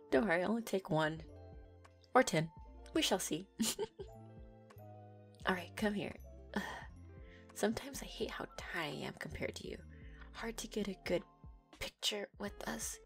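A giggly, teasing young neko girlfriend, played by a woman in an audio roleplay, her voice bouncing up and down with lively swings. She takes her time, speaking unhurried and soft, with faint music underneath. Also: medium pitch.

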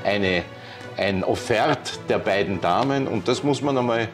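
Male host, 60s, effusive formal interviewer, slightly low, on a lapel mic. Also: a little slow, faint music behind. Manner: courteous, effusive. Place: ornate indoor reception room.

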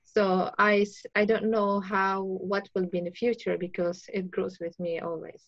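A woman's voice: medium pitch, level tone, moderate pace, dry recording, dull audio. A young woman from Afghanistan, an artist, speaking in a video-call interview with plain, searching phrasing. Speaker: artist guest.

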